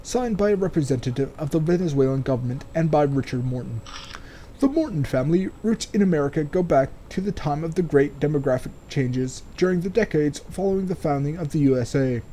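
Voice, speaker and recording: Mellow, slightly high-voiced sardonic man, noisy recording. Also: expressive, fairly quick. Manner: sardonic, swears freely. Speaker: let's player. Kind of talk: casual game commentary mixed with reading in-game text aloud.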